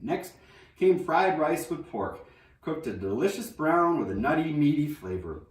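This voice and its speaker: A man reading a children's novel aloud in an expressive storybook narration style. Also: fairly high pitch, measured pace. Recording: roomy echo, distant microphone.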